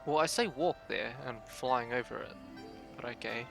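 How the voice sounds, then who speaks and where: A man's voice: mid-range voice, normal speed, gravelly. A man giving casual gameplay commentary as a streamer, muttering offhandedly to himself over a game. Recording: loud game music behind voice. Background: loud video game music.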